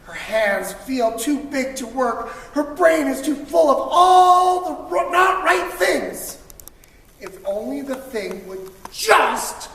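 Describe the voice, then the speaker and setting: A woman reading a children's picture book aloud to school pupils, expressively, with dramatic emphasis and drawn-out words. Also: sits high, very animated, a bit bright. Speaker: storybook reader for school children. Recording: distant mic, echoey reverberant room, faint noise.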